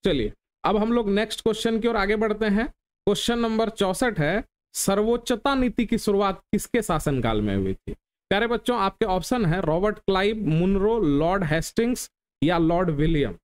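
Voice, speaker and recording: A man's voice: high-pitched, expressive, normal speed, clean tone. A man teaching an exam question lesson, instructive, repeating key points and asking rhetorical questions of his students.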